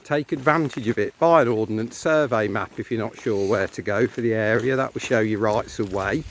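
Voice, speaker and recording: English male walking-vlog co-host, explanatory casual guide talk, outdoor phone sound with clear noise. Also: lowish voice, normal speed. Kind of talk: casual chat with local guide commentary. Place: outdoors on a country lane.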